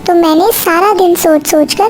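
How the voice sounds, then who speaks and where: A woman's voice: very high voice, fairly even intonation, normal speed, darkish tone. A woman voicing a scheming, bossy, selfish young female crow wife in a cartoon story, with a pushy, scolding manner. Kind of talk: Hindi cartoon story dialogue. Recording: music behind the voice.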